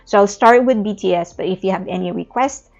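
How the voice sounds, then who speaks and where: A woman's voice: mid-range voice, brisk, creaky, a little mellow. A woman from the Philippines with a Filipino accent, explaining her thoughts in a reflective video essay, with small restarts. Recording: slightly muffled, faint background music.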